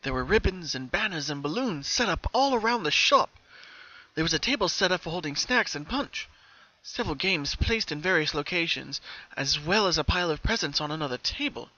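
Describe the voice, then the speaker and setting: Bright, expressive story narrator, slightly muffled recording. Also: medium pitch, fairly quick.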